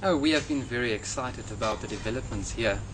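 A man in his thirties with a South African accent, a native Afrikaans speaker, answering interview questions in a measured, earnest way outdoors in front of a hedge. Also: medium pitch. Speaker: software developer. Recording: outdoor noise with passing traffic.

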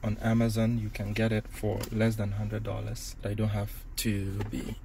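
A man's voice: lowish voice, monotone, normal speed, vocal fry, mellow, slight background noise. A man giving a casual hands-on product demo as a tech reviewer, speaking inside a car.

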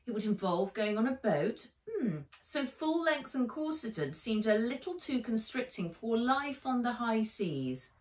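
Expressive middle-aged woman reading, very animated. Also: fairly high pitch, measured pace, hardly any pauses. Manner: expressive, emphatic. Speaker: author reading her book aloud. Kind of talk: dramatic storybook narration for children. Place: sitting room by a window, indoors. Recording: roomy, slightly distant home recording.

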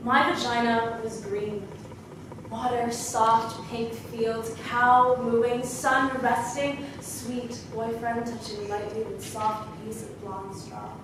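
The recording is very echoey, as from a theater stage. An actress plays a traumatized refugee woman with a fairly high voice, giving a grieving dramatic monologue. Her phrases come slowly and haltingly, with weighted pauses. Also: little pitch movement, recorded from a distance, background noise. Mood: wistful, tender. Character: traumatized Bosnian woman refugee, grieving, haunted wartime survivor. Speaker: stage performer. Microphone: distant camera microphone.

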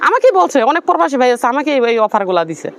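Fast-pleading high-voiced woman. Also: sing-song. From Bangladesh. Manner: rapid, earnest, pleading. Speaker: interviewee. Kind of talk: pleading street interview.

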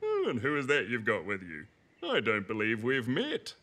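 A man's voice: mid-range voice, huge pitch swings, normal speed. A man voicing a polite, chatty, fussy little bird in a preschool cartoon, genteel and a bit dithering. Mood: cheery, curious. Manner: genteel, jolly, slightly dithering.

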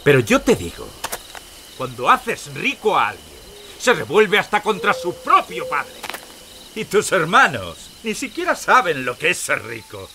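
An actor playing a gloating sheriff, taunting and menacing in a theatrical audio drama. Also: male voice, fairly high pitch, wildly swooping pitch, measured pace, pushed and bright, slight background noise, heavily acted. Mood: mocking. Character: gloating, sneering villain sheriff hunting outlaws. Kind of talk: dramatized audio fiction acting.

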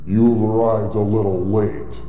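A man's voice: lowish voice, level tone, narrow, tinny audio, slight background noise, natural voice. An actor playing a menacing undead sorcerer in a fantasy video game, grand, taunting and commanding, drawing out his words. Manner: theatrical, commanding, drawn-out delivery. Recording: echoey hall reverb, music behind.

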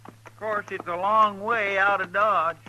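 A man's voice: high voice, steady tone, unhurried, bright, forceful tone, full character voice. An actor with a rural American accent playing a folksy, deferential lawman's sidekick in a western radio drama. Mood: chatty. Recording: noisy 1950s radio recording.

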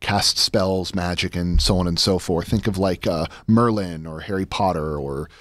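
A man in his thirties chatting casually as an interview guest, with halting, rambling explanations, close on a studio microphone. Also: deep voice, fairly bright tone, no room sound. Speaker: guest, editor and sound designer. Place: indoor podcast studio. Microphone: close studio microphone on a boom.

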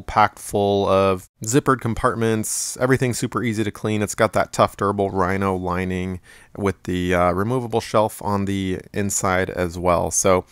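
Low-voiced man rambling through a review. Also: clear, resonant tone, dry recording. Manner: relaxed, rambling with many fillers. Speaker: product reviewer. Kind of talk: casual product review.

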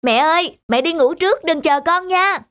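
A woman playing a lively young career woman in an animated drama, with a breezy, casual, hurried manner. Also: extremely high pitch. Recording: music under the voice.

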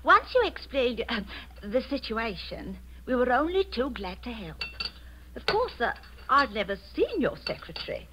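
An actress playing a chatty, obliging tea shop owner in a 1940s radio drama, fussy, polite and garrulous. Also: female voice, a little high, big pitch swings, moderate pace. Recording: noisy 1940s radio recording.

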